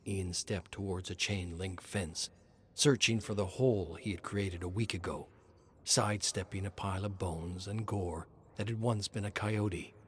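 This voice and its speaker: A man's voice: low voice, steady tone, measured pace, fairly bright tone, slight background noise. A man reading scripted horror story narration.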